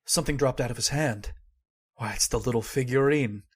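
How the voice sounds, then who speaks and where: A man playing a grave, haunted nephew who tells his horror story in measured old-time radio style. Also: mid-range voice, breathy edge, natural voice. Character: somber male narrator of a horror tale, haunted nephew.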